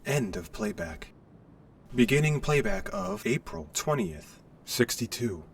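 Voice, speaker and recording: A man's voice: mid-range voice, big pitch swings, measured pace, creaky, far from the mic, a little hiss or hum. A man voicing an impassive log playback machine in a sci-fi audio drama, announcing formally in clipped phrases.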